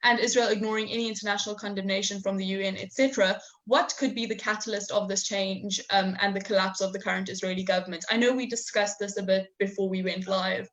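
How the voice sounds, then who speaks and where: A young adult woman moderates an online discussion over a video call. She reads out audience questions hesitantly, filling pauses with ums and restarting her phrases. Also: medium pitch, steady tone, fairly bright tone, dull audio. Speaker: host and moderator. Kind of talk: webinar interview.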